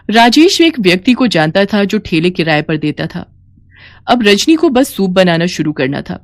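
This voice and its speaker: A woman reading scripted story narration as the narrator. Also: on the low side.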